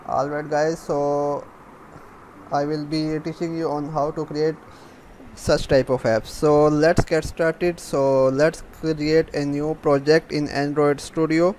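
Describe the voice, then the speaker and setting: Higher-voiced man giving a halting software tutorial. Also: little pitch movement, slight background noise, poor audio. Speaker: programming tutorial host. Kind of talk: unscripted software tutorial narration.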